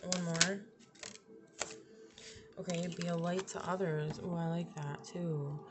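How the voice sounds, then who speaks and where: A young woman with a slightly raspy, lowish voice, musing through a tarot spread in a casual, thinking-aloud way. She trails off and restarts often. A steady hiss of background noise sits under her voice. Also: slow pace. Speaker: tarot reader. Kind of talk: casual tarot reading to camera.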